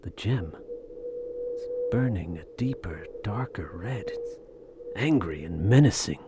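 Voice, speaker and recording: An actor playing an awed, uneasy soldier of fortune in an audio drama, speaking in a hushed, halting way. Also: male voice, lowish voice, wildly swooping pitch, heavily acted. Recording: very noisy, voice half buried.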